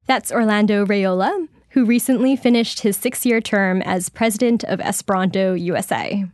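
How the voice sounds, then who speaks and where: A woman reporter narrating a feature story in a clear, measured scripted delivery. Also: mid-range voice, a little mellow, dry recording, clean studio sound. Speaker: radio reporter.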